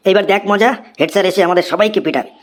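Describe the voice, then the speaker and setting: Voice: mid-range voice, rapid-fire, close to an everyday voice. A performer voicing an anxious, accused schoolchild in a cartoon comedy. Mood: worried.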